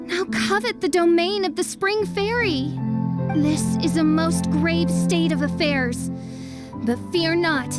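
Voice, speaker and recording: A melodramatic self-styled princess. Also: female voice, very high-pitched. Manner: florid, archaic, melodramatic speech. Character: theatrical young princess, grandiose and dramatic. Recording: game soundtrack music behind.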